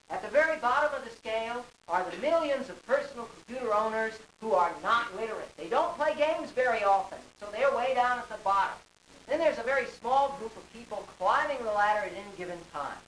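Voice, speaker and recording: A man's voice: very high-pitched, fairly bright tone, recorded from a distance. A man in his forties giving a theatrical conference talk, with dramatic, emphatic phrasing and rhetorical questions. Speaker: conference speaker, game designer. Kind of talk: theatrical lecture to an audience. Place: carpeted hotel conference room. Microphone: distant camera microphone. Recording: hissy, echoey camcorder recording from afar.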